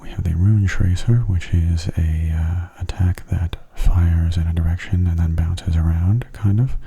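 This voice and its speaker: A man giving soft-spoken ASMR gameplay commentary in a hushed, breathy, unhurried and casual way, close to the microphone. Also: steady tone, faint music behind. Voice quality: breathy, husky low voice. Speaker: gaming ASMR video host. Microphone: close mono microphone.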